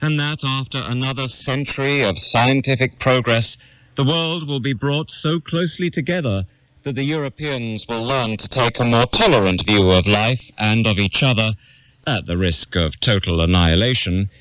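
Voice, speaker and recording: A man reading an essay as a scripted audiobook narration, in a measured, deliberate and formal manner. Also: medium pitch, fairly bright tone, clear, resonant tone, no echo. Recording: dull lo-fi radio capture.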